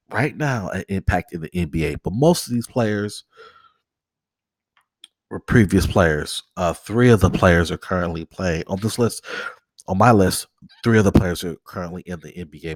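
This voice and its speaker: A deep-voiced man hosts a casual podcast while fighting a cold, so his voice comes out nasal and stopped up. He rambles, circles back and repeats himself, talking straight to his audience. The sound is dry and close. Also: dry recording. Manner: rambling, repeats himself, talks to viewers directly. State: stuffy nose from a cold. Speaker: podcast host. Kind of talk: casual solo commentary.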